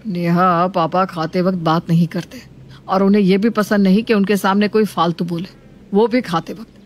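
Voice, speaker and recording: A woman's voice: mid-range voice, fairly even intonation, normal speed, close to an everyday voice. A woman voicing a young husband who explains things patiently and respectfully in a family story. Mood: calm, persuading. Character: young husband, calm, reasonable, respectful son.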